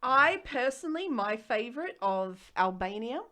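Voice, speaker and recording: An Australian woman co-hosting a podcast in casual chat, with an animated, expressive manner. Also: on the high side, moderate pace. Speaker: podcast co-host.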